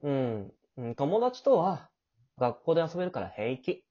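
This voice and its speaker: Woman doing a sly lovestruck teen boy, husky and breathy, swings up and down, romance audio drama, muffled recording. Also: low voice, big pitch swings, measured pace, full character voice. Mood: casual. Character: clingy, teasing, possessive younger teenage boy in love. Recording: dull, lo-fi home recording.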